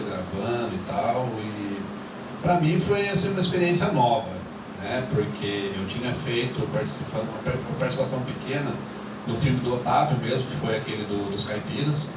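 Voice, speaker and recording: A Brazilian man with a São Paulo countryside accent rambles casually to an audience about acting a role, filling his talk with many fillers. He speaks from a distance in a very echoey room over loud background noise. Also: medium pitch. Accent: Brazilian Portuguese, São Paulo countryside. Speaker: actor at a film screening.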